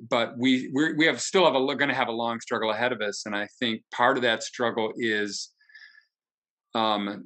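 Bright-voiced middle-aged man, halting and earnest. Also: on the low side. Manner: halting, searching for words. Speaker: book discussion participant. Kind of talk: earnest, reflective discussion. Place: indoor room, video call. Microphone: computer mic on video call.

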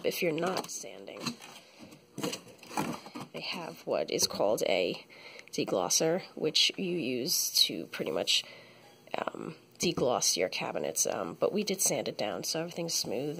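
A woman's voice: on the low side, vocal fry, a bit bright, slightly rough. A young woman casually explaining a home painting project while filming, chatty with many ums. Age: young adult. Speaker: vlogger renovating her home. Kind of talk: casual how-to narration. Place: house, indoors. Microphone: handheld camera.

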